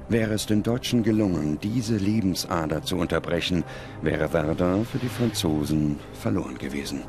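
Male documentary narrator, low-pitched, measured script read over music. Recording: music under the voice.

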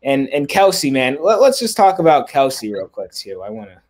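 A young man hosting a casual video call about football, speaking in halting bursts with frequent restarts. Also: medium pitch, big pitch swings, a little mellow. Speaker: host. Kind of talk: casual sports chat.

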